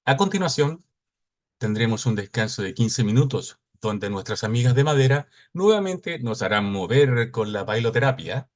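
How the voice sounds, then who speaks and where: A man's voice: medium pitch, expressive. Formal man opening a conference. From Chile. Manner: measured, formal, announcing. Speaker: conference cofounder and opening speaker. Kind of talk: formal welcome speech reading out an agenda.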